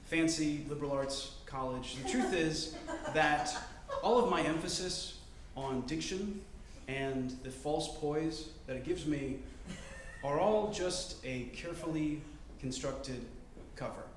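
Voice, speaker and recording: A man in his twenties telling a story on stage, choosing and enunciating each word carefully, with deliberate pauses. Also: a little high, a little hiss or hum. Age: 20s. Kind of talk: live storytelling performance. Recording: roomy live-venue sound.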